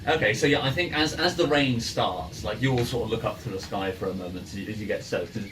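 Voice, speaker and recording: A man's voice: fairly low pitch, quick pace, far from the mic. A man casually narrating a tabletop roleplaying game as the game master. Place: in-person game session in a room, ambient music playing. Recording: noisy room, voice off the mic.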